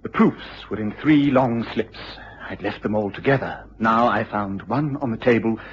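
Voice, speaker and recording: An actor playing an agitated, excitable university lecturer with an English accent and a husky voice in a period radio drama, speaking formally and precisely. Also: male voice, lowish voice, moderate pace, slight background noise, natural voice. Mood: anxious, earnest. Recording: dull, lo-fi old radio recording.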